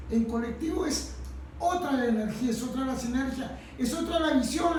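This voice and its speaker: A woman's voice: sits high, a little mellow. A woman talking informally in a political radio discussion, emphatic and repeating phrases for stress. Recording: very echoey room, distant mic, faint noise.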